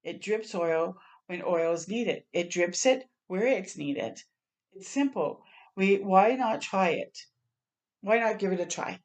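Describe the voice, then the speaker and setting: A woman's voice: medium pitch, animated, takes it slowly, darkish tone. A woman reads the part of an earnest young inventor in a children's storybook. He explains his invention in a plain, sincere way. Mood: earnest, persuasive.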